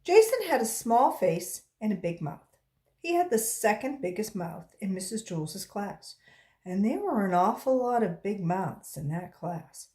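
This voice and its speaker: A woman volunteer reading aloud to children in an expressive storytelling style, acting out the dialogue with emphasis. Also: mid-range voice, unhurried.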